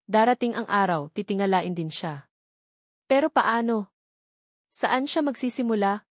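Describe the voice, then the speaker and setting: A woman's voice: medium pitch, a little slow, no echo, studio quality. A woman with a Filipino accent reading a dramatic scripted story as an audiobook narrator.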